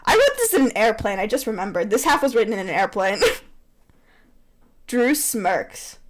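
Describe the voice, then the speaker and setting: A woman's voice: mid-range voice, very animated, normal speed, background noise. A woman reading fan fiction aloud with playful banter, theatrical, bossy and giggly, on a poor amateur microphone. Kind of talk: playful read-aloud mixed with casual banter.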